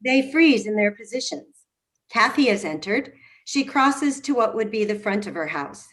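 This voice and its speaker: A woman's voice: medium pitch, lively intonation, moderate pace, fairly bright tone. A woman narrating the stage directions of a play in scripted reading style. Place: video call.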